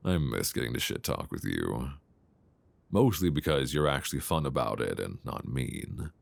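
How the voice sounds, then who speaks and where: A man playing an affectionate, gently teasing long-distance boyfriend in an intimate, unhurried ASMR roleplay voice message, speaking conversationally close to a binaural microphone. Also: very deep voice, sing-song, vocal fry, no room sound, heavily acted. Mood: fond, teasing. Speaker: audio roleplay performer.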